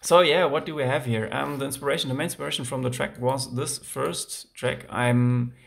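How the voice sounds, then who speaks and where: A man's voice: medium pitch, steady tone, moderate pace, gravelly, a bit bright. A man with a German accent, speaking English as a second language, casually walking through his track in a home music studio with many ums and restarts. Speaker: music producer.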